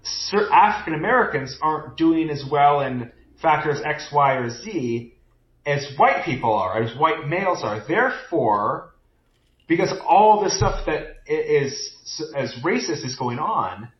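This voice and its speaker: A man's voice: fairly high pitch, sing-song, a little slow. A man co-hosting a podcast, discussing a book in casual chat and thinking aloud with many restarts. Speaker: podcast co-host. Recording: roomy, dull lo-fi sound.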